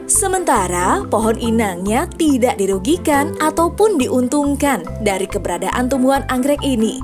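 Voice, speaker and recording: A woman narrating a scripted science lesson for children, asking friendly questions as she explains. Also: sits high. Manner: friendly questions to young listeners. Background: music.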